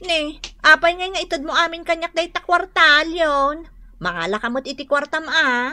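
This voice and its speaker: A woman with a Filipino accent playing an expressive, questioning young wife in a radio comedy drama, sing-song and exclamatory. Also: high-pitched, moderate pace, dry recording. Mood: suspicious.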